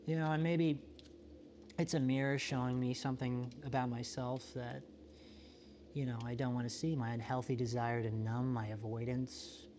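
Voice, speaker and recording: A man playing a solitary, introspective apocalypse survivor in a found-footage monologue, talking in a halting, self-questioning, confessional way. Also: mid-range voice, flat delivery, a little mellow, a little hiss or hum, close to an everyday voice. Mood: weary, reflective. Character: solitary, world-weary, introspective male survivor of a quiet apocalypse.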